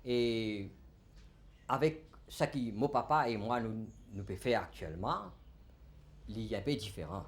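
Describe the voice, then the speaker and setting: A middle-aged man with a Mauritian accent, a Tamil speaker, answering interview questions in a halting way, searching for words. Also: lowish voice, animated. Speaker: tinsmith.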